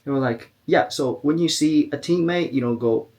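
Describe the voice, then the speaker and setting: A man with an American accent, co-hosting a podcast and telling a story in a casual, lively way with many fillers. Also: a little high, moderate pace. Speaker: podcast co-host. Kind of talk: casual chat.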